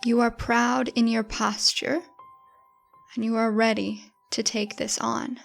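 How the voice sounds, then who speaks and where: A woman leads a guided meditation at a very unhurried pace. Her voice sits fairly high, with a touch of gravelly fry at times. She speaks in a gentle, informal, encouraging way over music. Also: little pitch movement.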